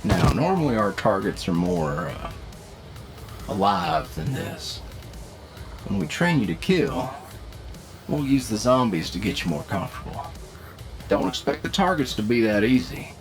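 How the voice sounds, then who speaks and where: Male actor as cocky hunter mentor, gravelly, slow folksy drawl, animated intonation, audio roleplay. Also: mid-range voice, music in the background. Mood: instructive.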